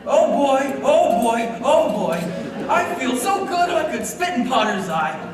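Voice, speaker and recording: A distant, echoing stage recording with music behind. A genial, muddled older man acted by a woman speaks with a very animated, swinging pitch in radio play style. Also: takes it slowly, crowd noise, heavily acted. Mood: tipsy, exuberant. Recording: echoey hall from far off, music behind.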